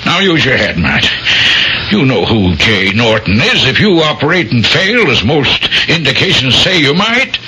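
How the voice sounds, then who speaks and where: An actor playing a stern, cautious hospital chief of staff in a radio drama, American, emphatic and authoritative. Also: male voice, mid-range voice, sing-song, moderate pace, pushed and bright. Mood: firm, exasperated. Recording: muffled, faintly noisy old radio broadcast.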